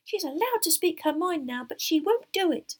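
A woman voicing a small, nervous, devoted male house elf for a storybook, squeaky, deferential and excitable, with broken grammar. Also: fairly quick. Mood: earnest, confidential.

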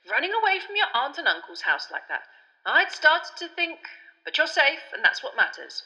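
A woman voicing a portly, testy middle-aged male minister who speaks briskly and pointedly. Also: high-pitched, wildly swooping pitch, pushed and bright, pitched above the performer's own voice, heavily acted. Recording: long hall reverb.

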